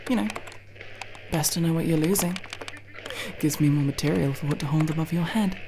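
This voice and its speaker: Woman playing a tsundere tomboy, teasing, sweary, low gravelly voice, unhurried, ASMR roleplay. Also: noisy background. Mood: cocky, teasing. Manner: playful bickering with mock-archaic flourishes. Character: teasing, foul-mouthed tsundere tomboy friend in an apocalypse.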